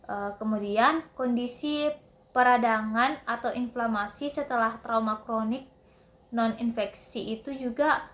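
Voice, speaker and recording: A young woman giving a prepared instructional presentation as a student, haltingly, often repeating and correcting words. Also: a little high, a bit bright. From Indonesia. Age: young adult. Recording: roomy, echoing indoor sound.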